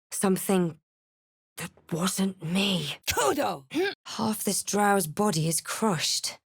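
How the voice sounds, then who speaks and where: A woman playing a wry, watchful fantasy adventurer hero in a video game, with a measured, dramatic delivery. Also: on the low side, no room sound, clean studio sound. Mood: commanding incantation. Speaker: player character in a fantasy role-playing game. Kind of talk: video game voice lines and narration.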